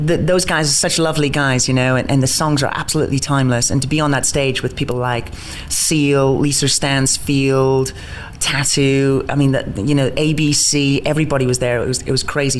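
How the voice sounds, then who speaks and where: A man from the north east of England with a mixed accent, part worn-down Geordie and part American, chatting as an interviewed stage actor, quick and excitable, laughing often and restarting his sentences. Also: mid-range voice, slight background noise. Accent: mixed, mostly neutralised Geordie with American touches. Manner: rapid, bubbly, stumbling restarts, laughs often. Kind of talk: casual interview chat.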